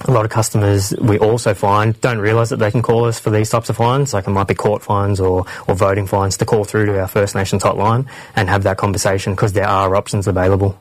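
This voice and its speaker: A man's voice: on the low side, little pitch movement, brisk, dry recording, rough recording. A man from Western Sydney with an Australian accent, answering interview questions informatively as a team coordinator.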